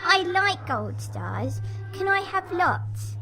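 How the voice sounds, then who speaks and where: High, childlike, eerie little girl. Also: very animated, slow pace, sharp tone, heavily acted. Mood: coaxing. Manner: childlike, coaxing, sing-song. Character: sweet, eerie little girl pleading coyly. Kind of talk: audio drama character promo. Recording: faint music behind the voice.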